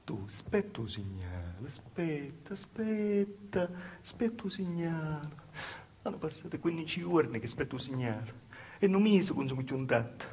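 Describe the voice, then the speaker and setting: A man with a Calabrian accent playing an anxious, wronged young village woman in a halting, repetitive theatre monologue. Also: on the high side, very animated, breathy edge. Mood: anxious, plaintive. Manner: halting, repetitive, dropping to hushed asides. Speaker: stage actor performing a monologue. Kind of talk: dialect theatre monologue, storytelling.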